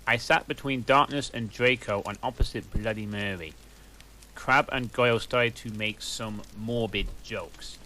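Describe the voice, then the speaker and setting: A man reading a fanfic aloud slowly and deadpan, breaking off for exasperated, cursing asides. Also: lowish voice, slight background noise, poor audio. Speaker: reader.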